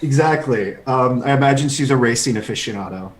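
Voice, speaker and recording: A man playing a tabletop role-playing game, describing his character in halting, thinking-aloud speech. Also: medium pitch. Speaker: player. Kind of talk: casual tabletop role-play chat.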